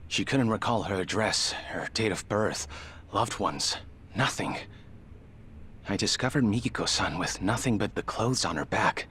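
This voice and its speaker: A man's voice: low voice, bright, forceful tone, slightly rough, full character voice. An actor playing a formal, guarded male medical examiner in a video game drama, choosing his words with care. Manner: formal, measured diction, falters when pressed. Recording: music behind the voice.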